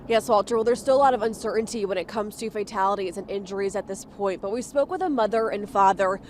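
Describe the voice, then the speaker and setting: A woman reports live for television news at a medium pitch. Faint outdoor traffic noise sits behind her voice. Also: fast talker.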